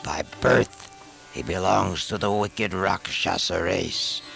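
A man playing a stern, suspicious monkey king and commander, speaking gravely and deliberately with a gravelly voice in an epic story. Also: low-pitched, bright, forceful tone, soft background music, full character voice. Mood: suspicious, urgent.

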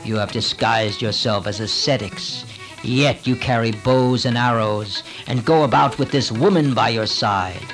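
A man voicing a gigantic man-eating demon in a storybook epic, roaring, boastful and threatening, with slow, taunting emphasis. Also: mid-range voice, fairly bright tone, faint music behind. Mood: menacing, scornful.

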